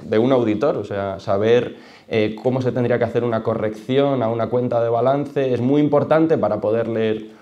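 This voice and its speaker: A man's voice: mid-range voice, normal speed, dark tone, dull audio. A young man with an accent from Spain, informally explaining his work as a data analyst to students. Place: large auditorium, panel table.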